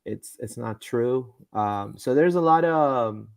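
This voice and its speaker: A man hosts a live soccer talk stream in an easygoing, rambling way, restarting sentences and leaning on fillers. His voice sits at an ordinary middle pitch. The sound is dry and close, with no room around it. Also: expressive, measured pace, gravelly, darkish tone, dry recording. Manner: rambling, with frequent fillers and repeated words. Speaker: podcast host. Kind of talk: casual live-stream sports chat.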